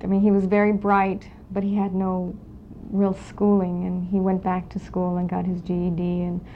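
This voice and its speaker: A woman in her forties from Los Angeles, recalling her family history in an oral history interview, speaking in a reflective, halting way with many pauses. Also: fairly low pitch, monotone. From Los Angeles, California. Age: 40s. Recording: dull lo-fi 1992 tape with faint hiss.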